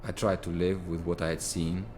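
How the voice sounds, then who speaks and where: An actor playing a weary, guilt-haunted Soviet lieutenant who narrates in a grave, reflective way, over a loud orchestral score. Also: male voice, deep voice, level tone, moderate pace, slight background noise, natural voice. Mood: somber. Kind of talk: video game cutscene voice acting.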